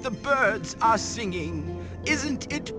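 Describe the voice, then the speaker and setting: Wise old wizard guide, theatrical, slow-paced delivery with lively swoops, cartoon game cutscene. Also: male voice, extremely high pitch, music in the background, full character voice. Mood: solemn, theatrical.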